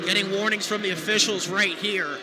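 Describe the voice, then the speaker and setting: A man's voice: high-pitched, steady tone, a little fast. A man giving analytical color commentary at a live basketball game, explaining plays in detail. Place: courtside in a basketball arena. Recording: noisy live arena broadcast.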